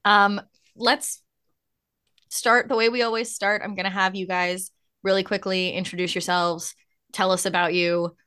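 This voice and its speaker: A woman's voice: medium pitch, little pitch movement, a little slow, bright, forceful tone, clean tone. A woman hosting a podcast, welcoming guests and guiding the talk with questions. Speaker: podcast host. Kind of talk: casual podcast chat.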